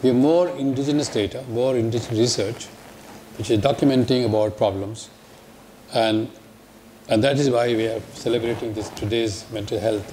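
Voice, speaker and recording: A man's voice: medium pitch, dark tone, slight background noise. An older man with a Pakistani accent, speaking as a panelist in a lecture hall, listing his points one by one with frequent restarts. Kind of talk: panel talk. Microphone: podium microphone.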